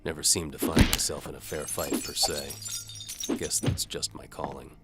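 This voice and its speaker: A man playing a sardonic, world-weary medieval bow for hire, narrating in a wry deadpan as scripted audio drama. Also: very deep voice, moderate pace, creaky, loud music behind the voice. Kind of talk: first-person scripted narration, hard-boiled audio drama.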